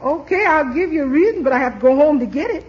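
Animated, wisecracking household cook. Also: female voice, high voice, fast. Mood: flustered. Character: good-natured, wisecracking female household cook. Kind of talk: 1940s radio comedy acting. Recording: muffled old radio recording.

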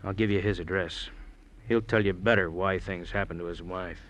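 A man's voice: low-pitched, fairly even intonation, normal speed, a bit bright, slight background noise. An actor playing a slick, evasive rental agent in an old radio crime drama, with colloquial tough-guy patter. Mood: guarded.

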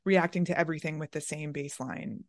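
A woman's voice: low voice, quick pace, no echo, studio quality. A woman hosting an interview in a casual, thoughtful conversational style. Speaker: interviewer, podcast host.

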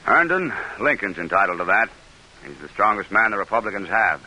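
An American actor playing a proud, shrewd middle-aged senator in an old-time radio drama. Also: male voice, lowish voice, sing-song, a little fast, sharp tone, a little hiss or hum, heavily acted, poor audio. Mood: guarded, firm. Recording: vintage radio broadcast recording.

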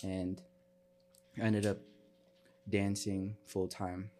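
Young man from Chicago, lowish voice. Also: little pitch movement, takes it slowly, warm tone. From Chicago, United States. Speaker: podcast guest, dancer and performer. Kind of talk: casual interview chat.

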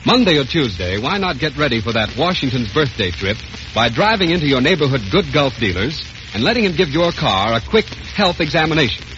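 A man's voice: on the high side, sing-song, fairly quick, fairly bright tone, a little hiss or hum. An American man announcing in scripted 1930s radio sponsor style. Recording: muffled old radio broadcast with music.

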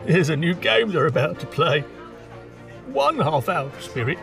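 A man's voice: fairly high pitch, very animated, measured pace, gravelly, exaggerated voice. A man playing an eager, pleading old man in an audio drama. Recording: distant voice under prominent music.

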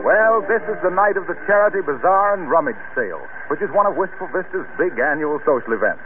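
A man announcing in a scripted vintage radio style, polished and emphatic with deliberate phrasing. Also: on the high side, animated, over music. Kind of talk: 1930s-40s scripted radio announcing and sponsor pitch. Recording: tinny, faintly noisy old radio broadcast.

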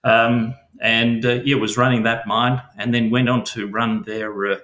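A man's voice: medium pitch, flat delivery, a little slow, fairly bright tone, echoey room, low-quality recording. A middle-aged Australian man with an Australian accent, answering interview questions as a mining company chief, hesitant and full of fillers and restarts.

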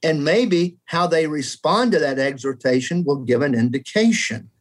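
A man's voice: a little high, lively intonation, normal speed. A man in his seventies, talking through a theology question in an earnest, reflective way, sometimes poking fun at his younger self. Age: 70s. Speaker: guest scholar. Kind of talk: conversational theology discussion.

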